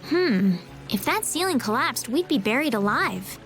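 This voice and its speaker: A woman playing a polite, bookish young noblewoman in a video game, speaking in an earnest, gentle and formal way. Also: high voice, big pitch swings, unhurried, faint music behind, full character voice. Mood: pondering. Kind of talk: English video game voice acting.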